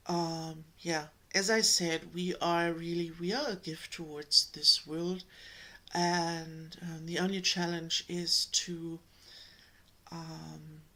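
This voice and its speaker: A woman from Germany with a German accent, giving a slow, gentle spiritual talk to her viewers, pausing often. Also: lowish voice. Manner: slow, gentle, with many pauses and ums. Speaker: spiritual coach.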